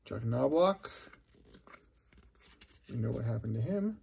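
A middle-aged man with a husky, gravelly voice, chatting casually and musing aloud as he hosts a card-opening video. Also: medium pitch, animated, takes it slowly, a little mellow, slight background noise. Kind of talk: casual unscripted commentary while handling cards. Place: indoors at a wooden table.

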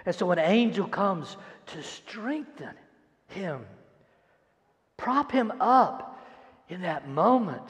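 An older man preaches a sermon and reads scripture with dramatic, emphatic delivery and deliberate pauses. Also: sits high. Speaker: pastor. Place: large church auditorium stage, indoors. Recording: echoey hall, long reverb.

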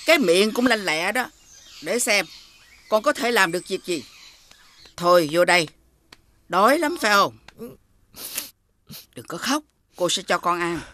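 An actress playing a bossy, sly woman food seller in an animated folk tale, with a Southern Vietnamese accent. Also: medium pitch, unhurried, pauses often. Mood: coaxing. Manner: scolding, switches to coaxing.

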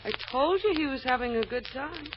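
A woman's voice: sits high, animated, brisk. An actress playing a practical, wry and supportive woman friend with a matter-of-fact manner in an old radio drama. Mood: sympathetic, practical. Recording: dull, noisy old radio recording.

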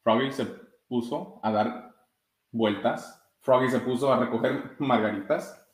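Young man, mid-pitched, slightly halting storybook reader, roomy webcam sound. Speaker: soccer goalkeeper reading a children's book. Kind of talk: read-aloud storytime. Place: small room with blinds, indoors.

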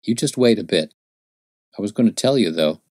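A man voicing a gaunt, dying frontiersman who speaks gently in rustic dialect, with a low voice. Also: expressive, fairly quick, no room sound, clean studio sound. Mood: gentle.

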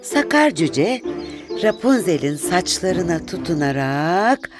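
A woman narrating a children's fairy tale in an expressive, deliberate storytelling style. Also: fairly low pitch. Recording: music behind the voice.

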